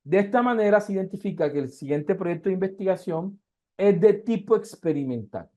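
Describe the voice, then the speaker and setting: A man's voice: sits high, animated, fairly quick, warm tone. A man gives an online lecture on research methods. He works through everyday comparisons and asks his students rhetorical questions as he goes. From Colombia.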